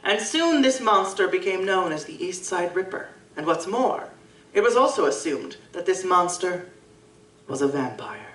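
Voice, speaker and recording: A woman giving measured, scripted first-person narration for a live audio drama. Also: on the low side, big pitch swings, fairly bright tone. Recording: roomy live stage from a distance.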